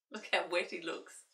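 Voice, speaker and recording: A woman reading a picture book aloud to children as an expressive storyteller. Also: on the low side, creaky. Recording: roomy, distant microphone.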